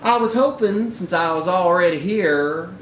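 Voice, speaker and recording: A man's voice: high voice, measured pace, voice pushed up. A man voicing a cheeky, feckless, ingratiating man with a breezy, wheedling manner in a live story reading. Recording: roomy, noisy live bar recording.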